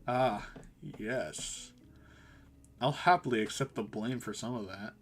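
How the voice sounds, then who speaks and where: A man as a gentle, worried mentor. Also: mid-range voice, takes it slowly, slight background noise, natural voice. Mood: concerned. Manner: soft-spoken, earnest, apologetic. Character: gentle, worried older mentor and best friend, male. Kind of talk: visual novel dialogue read aloud.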